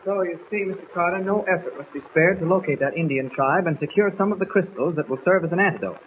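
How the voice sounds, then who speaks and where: An actor playing an earnest, resolute disguised hero with a brisk manner in a 1940s radio serial. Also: male voice, high voice, close to an everyday voice. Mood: polite, determined. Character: earnest, urgent, upright disguised male hero. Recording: tinny, noisy 1940s radio recording.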